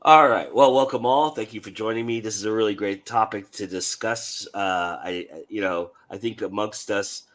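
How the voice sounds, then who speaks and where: A man hosting an online panel show, his voice hoarse with a little growl, talking in a rambling, self-deprecating way. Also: lowish voice, moderate pace, few pauses, a bit bright. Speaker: host.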